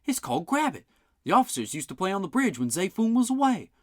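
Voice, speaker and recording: A narrator voicing an eager, plainspoken man who talks in quick, excited bursts. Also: mid-range voice, dry recording, studio quality, voice pushed up, natural voice.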